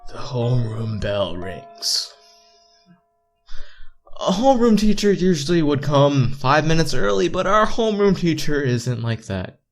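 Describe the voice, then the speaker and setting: Male game narrator, very animated delivery, playful and casual, trips over words, music underneath. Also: a little high, unhurried. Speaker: let's player reading a visual novel aloud. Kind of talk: casual read-aloud narration with side comments. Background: visual novel background music.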